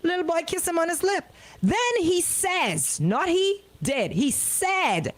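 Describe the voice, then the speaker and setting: A woman's voice: very high voice, expressive, takes it slowly. A woman with a Bahamian accent hosting radio banter, indignant and emphatic, repeating herself for effect. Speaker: radio host. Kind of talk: casual on-air banter and commentary.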